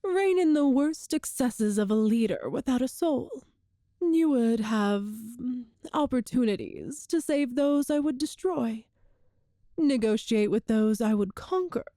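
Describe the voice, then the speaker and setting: A cruel and seductive empress, acted by a woman in an audio roleplay, speaks slowly in a soft, mellow, fairly high voice. Her delivery is regal and teasing, with formal, calculated phrasing, recorded close and dry. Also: animated, no echo. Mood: coolly persuasive. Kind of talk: scripted audio roleplay, ASMR-style monologue to the listener.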